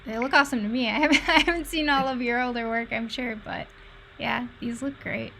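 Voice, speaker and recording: A woman with a fairly high voice chats in a casual, encouraging way. It is a video call with faint noise behind her. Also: fairly even intonation, brisk, bright, forceful tone. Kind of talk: casual chat.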